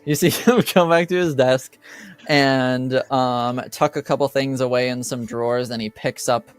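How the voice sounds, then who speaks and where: A man narrating a tabletop game as game master, improvising hesitantly with many pauses. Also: mid-range voice, steady tone, clear, resonant tone, soft background music.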